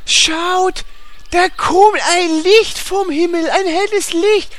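A woman playing an earnest, excitable guild member in a comedic fantasy audio drama, dramatic and wide-eyed. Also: extremely high pitch, slow, a little hiss or hum. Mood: amazed.

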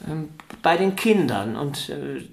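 An actor playing a chatty male musician with a lively, conversational manner. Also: fairly high pitch, slow, gravelly, exaggerated voice.

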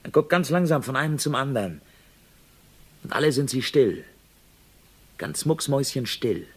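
A cocky newspaper reporter in a radio crime play. His mid-pitched voice is chummy and conspiratorial, that of a wisecracker always after money. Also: expressive, normal speed, husky. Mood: tense, irritated. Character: brash, gleeful, money-hungry male newspaper reporter. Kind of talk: German radio crime drama acting.

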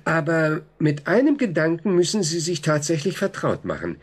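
Casting: composed radio-play therapist, fairly high for a man, expressive intonation, clinical and probing. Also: slightly rough. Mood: explaining. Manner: measured, clinical, deliberate. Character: composed, methodical male psychotherapist, reassuring and probing. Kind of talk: German radio drama acting.

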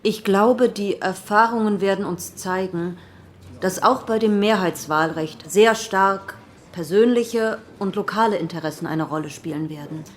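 A woman's voice: mid-range voice, measured pace, natural voice. An actress playing a female politician who gives an earnest, rhetorical speech to parliament in a historical radio play. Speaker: parliament member. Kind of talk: historical radio drama acting.

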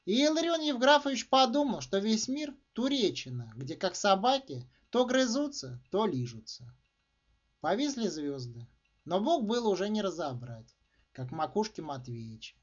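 A man with a high-pitched voice narrates a short story with emphatic, theatrical phrasing. His intonation swings widely from phrase to phrase. The recording sounds dull and muffled. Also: sing-song, normal speed, breathy edge. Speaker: author reading own short story. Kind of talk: expressive literary narration.

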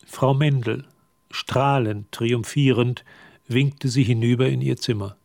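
A man as the narrator of a radio drama, reading scripted literary prose in a measured way. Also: mid-range voice, fairly even intonation, gravelly, darkish tone, no room sound, studio quality. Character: measured male literary storyteller.

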